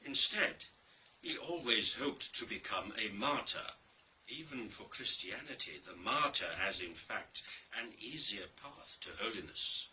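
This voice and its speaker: Slow, bright-voiced man reading a dark monologue. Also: medium pitch, expressive. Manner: deliberate, theatrical delivery with pauses and emphasis. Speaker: reader of a dramatic monologue. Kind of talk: scripted dramatic storytelling, digital play reading. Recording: distant microphone, faint background noise.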